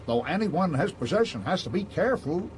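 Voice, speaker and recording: An actor playing a folksy, plain-spoken old frontier scout with an American accent in a radio drama. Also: male voice, fairly high pitch, big pitch swings, a little fast, a little mellow, slight background noise, poor audio. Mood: grave, reminiscing. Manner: folksy, rambling storyteller.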